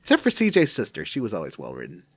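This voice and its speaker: A wry man chatting on a gaming podcast, his pitch rising and falling a lot. The sound is dry and close, and he often trails off mid-thought. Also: wildly swooping pitch, very fast, slightly rough, dry recording. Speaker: podcast co-host. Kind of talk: casual chat.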